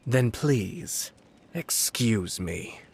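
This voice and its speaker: A man's voice: fairly low pitch, lively intonation, vocal fry, a little mellow, slight background noise, heavily acted. Composed, refined young nobleman. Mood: composed, commanding. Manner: formal, measured, composed. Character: calm, refined, shrewd young nobleman and commissioner. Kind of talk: English video game voice acting.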